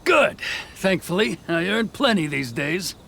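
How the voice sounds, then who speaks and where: An actor playing a self-satisfied, shady tax collector in a video game, musing smugly to himself. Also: male voice, high-pitched, very animated, a little slow, vocal fry, sharp tone, slight background noise, full character voice. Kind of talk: acted video game dialogue. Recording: game music behind the voice.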